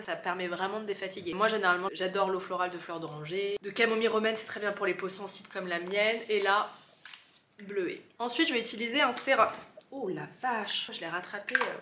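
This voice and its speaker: A woman's voice: mid-range voice, fairly bright tone, slight background noise. Chatty woman with a cold, roomy sound. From France. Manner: chatty, many asides and fillers. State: stuffy with a cold. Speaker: beauty vlogger. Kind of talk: casual chat to camera. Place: her office, indoors.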